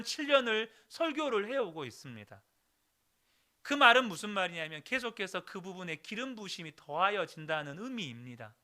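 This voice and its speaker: A man's voice: sits high, pushed and bright. A man in his thirties, a pastor, preaching a sermon earnestly and addressing the congregation directly. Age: 30s. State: recovering throat, clears throat.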